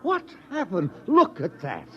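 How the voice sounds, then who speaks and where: Boozy theatrical doc, excitable, highish voice with big swings, muffled vintage radio. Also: male voice, unhurried, full character voice. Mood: astonished, teasing. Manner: theatrical, exclamatory, repeats himself. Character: boozy, excitable racing-paper doctor columnist, gambler's pal. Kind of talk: 1950s radio drama acting. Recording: dull vintage radio recording.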